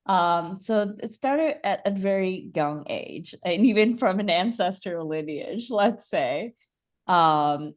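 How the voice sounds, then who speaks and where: A young woman from Bangladesh, a holistic coach, talking about her life in a soft, reflective way with many fillers and restarts. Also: sits low. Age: young adult. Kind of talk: casual interview chat.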